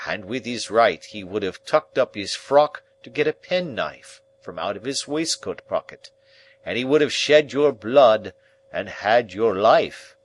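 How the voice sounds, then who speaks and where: A man voicing a pompous, blustering middle-aged man who lectures a boy in a loud, drawn-out, self-important way. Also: medium pitch, slight background noise, pitched above the performer's own voice.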